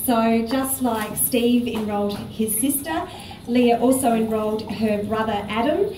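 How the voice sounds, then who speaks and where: A brisk woman hosts the meeting in a slightly higher voice, plainly introducing each speaker. She sounds distant and echoey, with noise underneath. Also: darkish tone. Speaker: meeting host. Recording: echoey room, distant mic, noisy.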